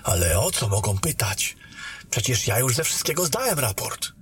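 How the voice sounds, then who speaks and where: A man's voice: medium pitch, sing-song, normal speed, fairly bright tone, slight background noise. A man playing an earnest, defensive young soldier captain in a fantasy audio drama. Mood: uneasy. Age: young adult. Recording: echoey hall, distant from microphone.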